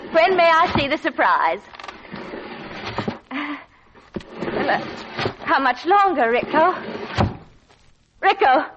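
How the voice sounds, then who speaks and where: An actress playing a polite, nervous dancer with a genteel, hesitant, pleading manner in an old radio drama. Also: female voice, high voice, big pitch swings, slow, pauses often, heavily acted. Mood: nervous, wondering. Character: polite, nervous lady dancer, easily frightened. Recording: muffled vintage radio recording, faint noise.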